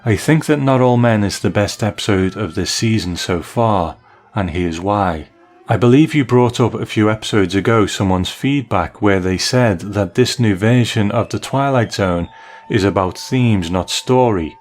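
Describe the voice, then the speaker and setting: A man's voice: on the low side, normal speed, darkish tone. A man hosting a podcast, reading listener feedback aloud.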